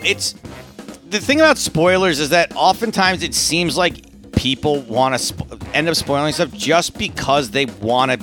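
A man's voice: mid-range voice, pushed and bright. A man co-hosting a podcast, chatting casually in an informal, emphatic way. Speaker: podcast co-host. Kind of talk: casual chat.

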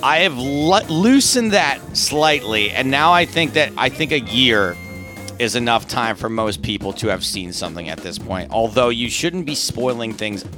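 A man's voice: mid-range voice, expressive, moderate pace, music in the background. A man co-hosting a podcast, chatting casually in an informal, emphatic way. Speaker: podcast co-host. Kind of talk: casual chat.

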